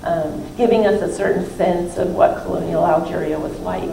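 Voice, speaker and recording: A woman's voice: lowish voice, normal speed, creaky. A woman, a professor, talks informally and discursively about her book during a question-and-answer discussion, often qualifying her own points. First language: not French (non-native French speaker). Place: library event room, recorded from a distance. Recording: roomy, distant mic with faint room noise.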